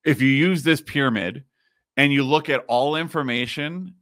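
A man in his thirties, slightly raspy, hosting a casual podcast and explaining things with plenty of fillers, recorded in a home studio. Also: medium pitch, normal speed, a bit bright, dry recording. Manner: explains while screen-sharing, many fillers. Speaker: podcast host. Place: home studio with neon sign.

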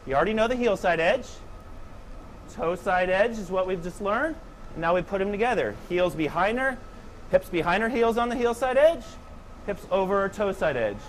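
Male snowboard coach, thirties, higher voice, calm clipped cues, faint hum behind. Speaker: snowboard instructor. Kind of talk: step-by-step instructional demonstration. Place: indoor training slope under a roof.

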